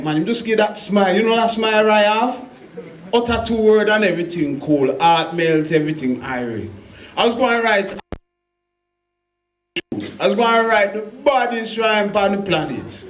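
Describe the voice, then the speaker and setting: A poet from England with a Birmingham Jamaican patois, bantering with the crowd before a spoken word set. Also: male voice, high-pitched, normal speed, reverberant.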